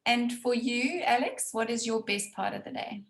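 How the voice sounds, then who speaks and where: A woman interviewing a family for a homeschool program, asking questions and affirming their answers warmly. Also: on the high side, normal speed, vocal fry, reverberant. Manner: warm, affirming, laughs often.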